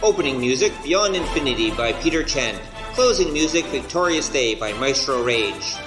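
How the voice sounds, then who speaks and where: A man's voice: medium pitch, animated, moderate pace. A man announcing scripted credits for an audio drama. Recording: echoey, over loud music.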